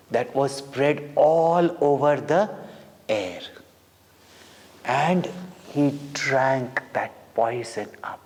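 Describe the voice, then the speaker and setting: Slow-speaking Indian man in a reverberant hall. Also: medium pitch. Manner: deliberate, dramatic, with short pauses between phrases. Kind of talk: devotional storytelling for children. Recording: long reverberant hall echo.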